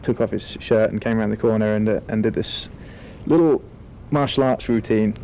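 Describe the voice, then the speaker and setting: A man talking as a film director in a relaxed, wordy, articulate interview. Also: lowish voice, a little fast, vocal fry, a little hiss or hum, poor audio.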